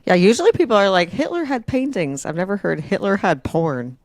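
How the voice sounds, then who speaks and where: A woman's voice: medium pitch, expressive, fairly quick, a little mellow, no room sound. A woman co-hosting a podcast, chatting casually. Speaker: podcast co-host. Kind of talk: casual chat.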